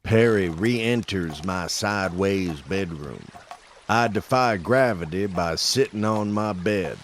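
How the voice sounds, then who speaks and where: A man acting a grim, guilt-ridden dead man who narrates a scripted audio drama in a slow, brooding, deliberate way. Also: low voice, animated, a little hiss or hum. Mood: brooding narration. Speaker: narrator and in-story character. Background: music.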